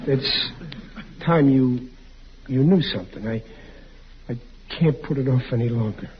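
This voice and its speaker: A man's voice: medium pitch, a little slow, natural voice. An actor playing a bumbling, blustering American father in an old radio comedy, stammering and easily flustered. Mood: nervous bluster. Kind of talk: 1940s radio comedy acting. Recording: muffled, hissy old radio recording.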